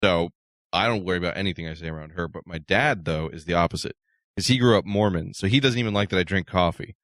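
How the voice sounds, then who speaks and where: Lower-voiced man, casual co-host. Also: fast, a bit bright, no echo, studio quality. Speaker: comedy show co-host. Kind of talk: casual chat.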